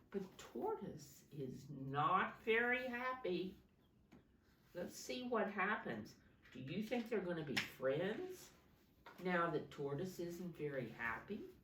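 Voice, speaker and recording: A woman reading a storybook expressively to young children, deliberately, pausing to explain and ask simple questions. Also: on the low side, very animated, fairly bright tone, a little hiss or hum. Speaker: preschool teacher reading aloud. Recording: roomy echo, distant microphone.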